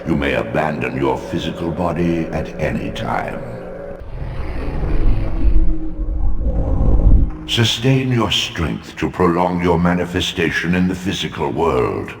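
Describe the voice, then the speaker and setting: An ancient, imperious spirit god for a fantasy game. The voice is extremely low and gravelly, drawn out in solemn pronouncements, and swathed in long, cavernous reverb. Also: male voice, expressive, noisy background. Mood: instructive, grave. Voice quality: deep, booming, gravelly. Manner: slow, grave, oracular pronouncements. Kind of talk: dramatic video game voice acting.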